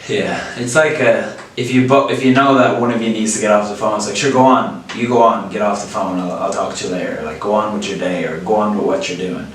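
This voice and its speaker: A man's voice: on the low side, fairly quick, hardly any pauses. A young man with an Irish accent chatting casually as a co-host, explaining with plenty of "like" and "you know" and laughing often. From Ireland. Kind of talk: casual chat. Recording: echoey room, voice a bit far from the mic, faint hiss.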